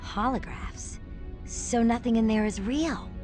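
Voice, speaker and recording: Curious teen girl woman voicing her, bit high, takes her time, unsure game newcomer. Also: measured pace, darkish tone, lightly acted. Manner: questioning, unsure. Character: curious, hesitant teenage girl, newcomer student. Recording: music behind the voice.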